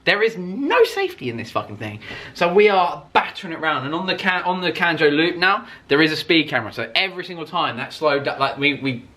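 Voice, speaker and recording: Bright, higher-pitched man telling a story. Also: a little fast. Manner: excitable, emphatic, acts out reactions with exclamations. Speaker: vlogger telling a personal story. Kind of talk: casual animated storytelling with swearing.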